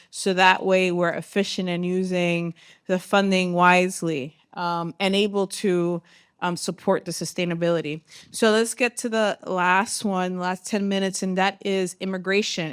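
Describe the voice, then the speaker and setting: Smooth low-voiced woman moderating a panel. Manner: measured, many ums and uhs. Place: symposium panel room.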